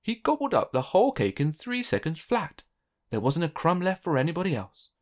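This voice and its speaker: A narrator reading a children's storybook aloud in an expressive, theatrical way with deliberate phrasing. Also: mid-range voice.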